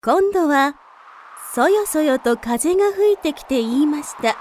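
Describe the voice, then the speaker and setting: A woman's voice: sits high, animated, unhurried, darkish tone, a little hiss or hum. A woman narrating a children's fairy tale as a scripted storyteller.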